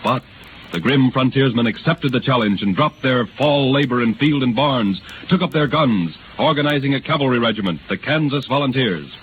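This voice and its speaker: A man's voice: medium pitch, animated, normal speed, background noise. A man narrating a scripted radio drama in a solemn, theatrical and formal 1930s style. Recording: tinny 1930s radio recording with music.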